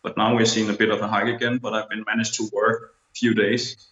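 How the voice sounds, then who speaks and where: A man's voice: on the low side, flat delivery, fast talker, a bit bright. A man in his thirties from Denmark with a Danish accent, a photographer speaking easygoing English as a guest in a casual video-call interview. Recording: roomy, slightly dull video-call audio.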